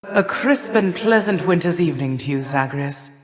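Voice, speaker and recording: A woman playing an imperious, cold older goddess of winter and harvest in a video game, with stately, formal, measured delivery. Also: low-pitched, expressive, crowd noise. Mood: regal, austere. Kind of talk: theatrical video game character lines. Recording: long reverberant hall echo.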